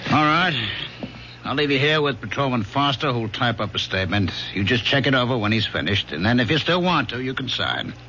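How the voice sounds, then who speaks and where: A man's voice: mid-range voice, big pitch swings, a little fast, sharp tone, slight background noise. An actor playing an impatient, weary police lieutenant who grumbles sarcastically at his partner, in an old radio drama. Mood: businesslike. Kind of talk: 1970s radio drama acting. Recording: dull lo-fi old radio broadcast.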